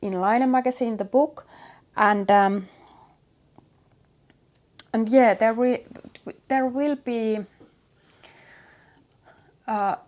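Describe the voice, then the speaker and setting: A woman from Finland with a Finnish accent and Finnish as her first language, chatting casually to viewers as a vlog host in a halting, hesitant way with many pauses. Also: a little high, steady tone, a little mellow. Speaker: knitwear designer and vlog host.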